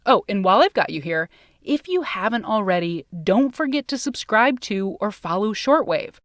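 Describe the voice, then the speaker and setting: Woman, American accent, podcast host, casual and playful, medium pitch, dry close-mic sound. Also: very animated, moderate pace, no room sound. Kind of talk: conversational podcast hosting and interviewing.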